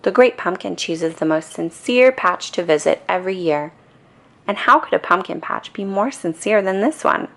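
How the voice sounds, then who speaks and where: A woman reads a scripted bedtime story for children. Her voice sits on the low side with noticeable creak, and her storytelling is calm yet expressive. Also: normal speed. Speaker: storybook reader.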